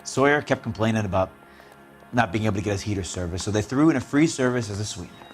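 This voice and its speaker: Slangy repairman under interrogation, male actor; evasive, indignant, mid-pitched with lively swings, video game drama, faint music behind. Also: quick pace, natural voice. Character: evasive, defensive working-class repairman suspect, male.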